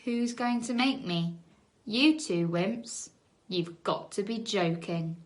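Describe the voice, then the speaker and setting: A woman's voice: mid-range voice, takes it slowly, natural voice. Casting: female reader as boastful young male bully, sneering, threatening, easily embarrassed, for a children's storybook.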